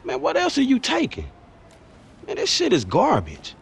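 An actor playing a skeptical young American street hustler in a game cutscene, slangy and blunt. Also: male voice, very high voice, wildly swooping pitch, moderate pace, vocal fry, husky, heavily acted. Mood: incredulous. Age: young adult. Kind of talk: video game cutscene acting.

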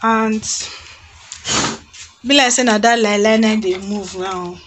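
A Nigerian woman in her thirties chatting casually to camera in an expressive, exclamatory storytelling way, recorded on a phone in a room at home. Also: mid-range voice, takes it slowly, background noise. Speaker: vlogger. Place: small indoor room at home.

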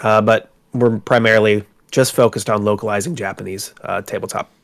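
A man with a stuffy, congested voice from a cold speaks in a fairly low register. He talks as a guest in a casual interview, looping through fillers and false starts as he explains things. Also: fairly even intonation, brisk, gravelly. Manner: rambling, with many fillers and restarts. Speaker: tabletop game publisher, guest. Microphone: home microphone on a call.

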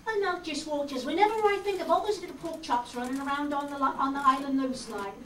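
A folksy, food-loving castaway lad, acted by a woman, high in pitch and rambling along in an old radio adventure. The recording is roomy, with a faint layer of noise. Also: fast talker, lightly acted. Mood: hungry longing. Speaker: amateur actor in a live radio-play reading. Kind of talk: 1930s children's radio adventure acting, read from script. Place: meeting room stage before seated audience. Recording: roomy, distant camera mic, faint noise.

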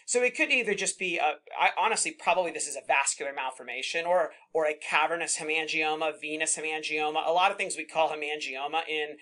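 A middle-aged man, a pathologist, teaching residents in a relaxed, conversational way and reassuring them often. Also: fairly high pitch, fast talker, hardly any pauses. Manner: conversational, reassuring, many fillers like "kind of" and "right?". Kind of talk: informal teaching at a slide session.

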